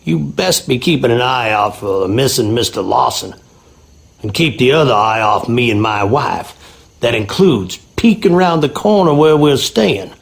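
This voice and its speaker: An actor playing a wary, rustic groundskeeper with an American Southern accent in a video game. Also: male voice, medium pitch, expressive, normal speed, gravelly. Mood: guarded, uneasy. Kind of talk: video game character acting.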